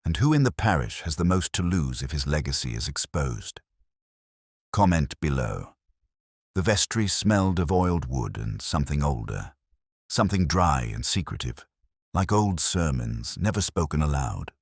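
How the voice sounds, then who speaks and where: A man narrating a scripted mystery story in a measured, dramatic and deliberate way. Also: extremely low pitch, sing-song, slightly rough, no echo, studio quality.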